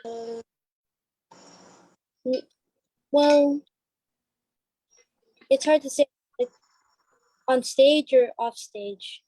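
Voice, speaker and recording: A woman's voice: high-pitched, fairly even intonation, drawn-out words, pauses often, dark tone, no echo, rough recording. A woman giving casual interview answers as a cast member, hesitant in how she talks. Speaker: novice stage play cast member.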